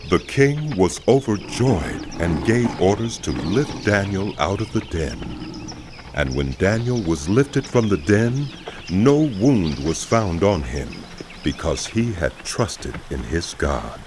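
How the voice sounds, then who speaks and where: A man narrating a dramatized audio Bible in a measured, solemn way, with music behind. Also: deep voice, very animated, noisy background.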